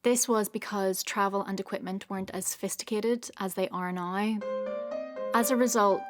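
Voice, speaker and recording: A woman gives explanatory documentary commentary in articulate, formal phrases. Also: medium pitch. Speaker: interviewed commentator on newsreel history. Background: music.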